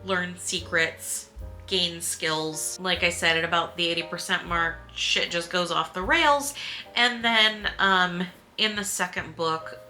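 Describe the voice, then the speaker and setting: A woman talking casually in a book review vlog, informal and a bit halting, often correcting herself and swearing mildly. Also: lowish voice, bright, forceful tone. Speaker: book reviewer and video host.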